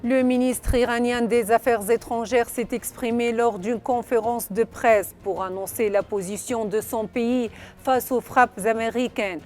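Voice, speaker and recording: A woman narrating a scripted news report in a formal, measured delivery. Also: a little high, a little mellow. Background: background music.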